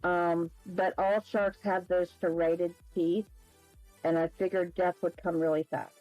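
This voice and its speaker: A woman's voice: on the low side, level tone, measured pace, no echo, highs cut off. A woman co-hosting a livestream, talking casually on an online group call. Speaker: livestream show co-host. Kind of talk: casual chat. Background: music.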